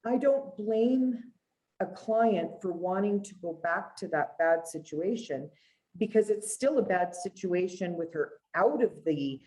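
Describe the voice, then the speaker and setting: A woman's voice: medium pitch, animated, measured pace, dark tone, rough recording. A middle-aged woman with a Canadian accent, speaking as a guest family lawyer in an earnest, conversational storytelling way.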